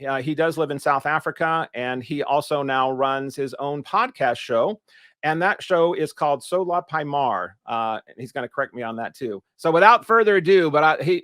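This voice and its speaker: Rambling podcast host, dry close sound. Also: male voice, a little high, fairly bright tone, no room sound. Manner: rambling, stumbling, enthusiastic. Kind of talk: interview host introduction and questions.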